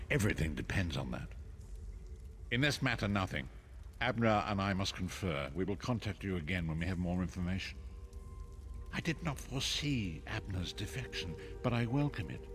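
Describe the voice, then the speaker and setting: A low, solemn male voice, that of an aged noble prophet in a fantasy game. He speaks with formal, measured gravity and earnest remorse. Music plays behind him. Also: expressive, fairly bright tone. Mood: grave, resolute. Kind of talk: fantasy video game character acting.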